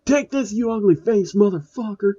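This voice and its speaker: Sulky crude male game streamer acted by a male narrator, whiny, pitched up, muffled lo-fi sound. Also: moderate pace, dark tone, slightly rough, no echo. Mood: defiant.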